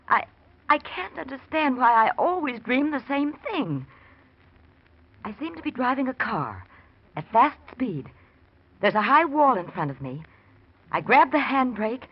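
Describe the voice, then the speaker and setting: A troubled, evasive wife in classic radio melodrama. Her voice is fairly high and swoops with feeling, hesitant and eager to soothe. The old recording sounds dull. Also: breathy edge, full character voice. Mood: frightened.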